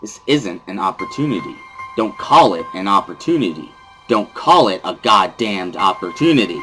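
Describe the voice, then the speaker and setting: A man's voice: deep voice, big pitch swings, moderate pace, fairly bright tone, faint music behind. A man playing an upset teenage boy patient, bitter and protesting, in a visual novel reading. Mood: angry, indignant. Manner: indignant, protesting.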